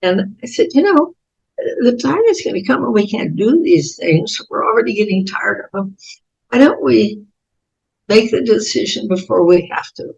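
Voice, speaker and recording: An 88-year-old American woman with a raspy voice, telling reflective personal stories as an interview guest in halting, thoughtful phrases. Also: mid-range voice, lively intonation, a little mellow, lo-fi sound. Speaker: interview guest, author and speaker.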